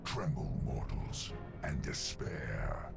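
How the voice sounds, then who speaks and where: A towering demon warlord of a fantasy game, bent on destruction. The voice is extremely deep and heavily gravelled, slow and menacing, with loud music beneath it. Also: male voice, big pitch swings, full character voice. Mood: menacing, imperious. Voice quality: gravelly, cavernous. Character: colossal male demon overlord, imperious and destructive. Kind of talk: dramatic game cinematic acting.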